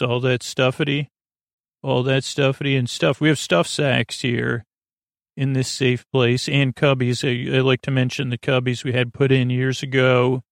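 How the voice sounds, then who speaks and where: A man with a creaky voice hosting a bedtime podcast in a soothing, halting, meandering monologue that keeps drifting into tangents. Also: medium pitch, no echo, clean studio sound. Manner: meandering, halting, digressive, absent-minded. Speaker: podcast host.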